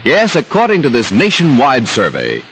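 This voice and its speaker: A man's voice: medium pitch, huge pitch swings, normal speed, a bit bright, slight background noise. A man announcing and reading adverts in a scripted 1950s radio style. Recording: old radio broadcast, faint music.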